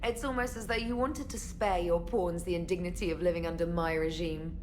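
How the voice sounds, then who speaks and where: A woman's voice: lowish voice, fairly even intonation, brisk, a little hiss or hum, lightly acted. A woman playing a witty, playful young military comms specialist in a video game, with a wry, articulate, teasing manner. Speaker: comms officer. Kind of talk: video game dialogue acting.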